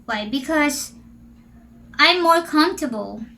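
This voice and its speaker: A woman's voice: high voice, animated, slow pace, bright, forceful tone. A Filipino woman, an English teacher who grew up speaking Filipino, chatting casually in a Q&A vlog, asking questions and stretching her words. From Philippines. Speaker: English teacher and channel host.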